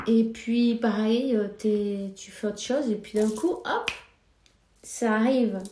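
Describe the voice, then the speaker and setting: Slow, mellow-voiced woman with a cold. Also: on the high side, reverberant, a little hiss or hum. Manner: slow, hesitant, many fillers. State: has a cold. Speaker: spiritual card reader. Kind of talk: casual spiritual advice talk.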